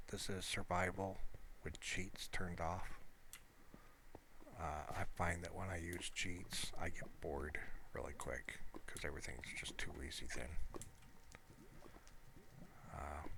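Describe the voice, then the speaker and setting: Low-pitched man rambling over noisy recording. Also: vocal fry. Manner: hesitant, meandering, self-correcting. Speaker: gamer narrating own gameplay. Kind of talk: casual rambling gameplay commentary.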